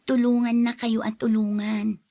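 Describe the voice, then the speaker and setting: A woman's voice: fairly high pitch, little pitch movement, unhurried, warm tone. A young woman playing a kind, forgiving granddaughter and caregiver in a radio drama. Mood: gentle, caring. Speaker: drama actor.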